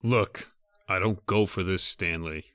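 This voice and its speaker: A man playing a low-voiced, grumbling crook. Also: unhurried, fairly bright tone, dry recording, studio quality. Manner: slangy, grumbling. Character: gruff, grumbling male crook, uneasy but practical accomplice. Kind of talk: old-time radio horror acting.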